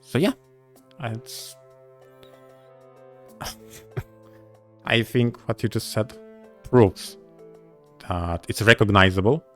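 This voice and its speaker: A man's voice: fairly low pitch, very slow, pauses often, fairly bright tone. A man co-hosting a casual podcast, effusive and emphatic in the way he agrees and reacts. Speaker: podcast co-host. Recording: music playing behind the voice. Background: background music.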